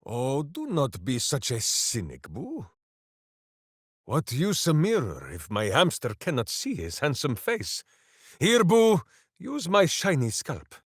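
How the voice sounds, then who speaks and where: An actor playing a big, hearty, simple-hearted heroic warrior in a fantasy video game, earnest and grandiose in a theatrical way. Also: male voice, fairly high pitch, huge pitch swings, slow, no echo, studio quality, heavily acted. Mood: playful. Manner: boisterous, earnest, grandiose, speaks of himself in third person.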